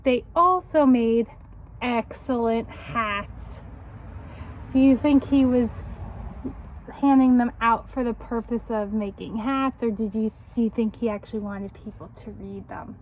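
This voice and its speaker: A woman reads a picture book aloud to children as a tutor would. Her voice is fairly high and she takes her time, stopping to instruct and question her young listeners. There is some background noise. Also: unhurried, low-quality recording.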